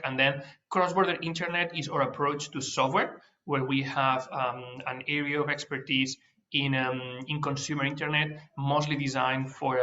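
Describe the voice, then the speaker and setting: A man's voice: medium pitch, pushed and bright. Spanish guy presenting over a video call, lots of uhs and restarts, kinda muffled sound. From Spain. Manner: hesitant, many fillers and restarts. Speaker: managing director of a startup accelerator, guest speaker. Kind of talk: webinar presentation.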